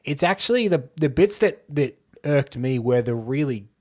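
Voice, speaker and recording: A man co-hosting a podcast, chatting casually about films in a conversational, measured but opinionated way. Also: medium pitch, animated, darkish tone. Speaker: podcast co-host. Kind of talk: casual film discussion.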